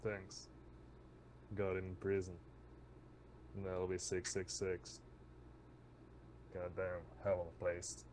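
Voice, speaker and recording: A man with a very low voice plays casually in character at a tabletop game. His lines are short and terse, and the recording carries clear background noise. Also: monotone, takes it slowly, pauses often, darkish tone. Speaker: player in a tabletop roleplaying game.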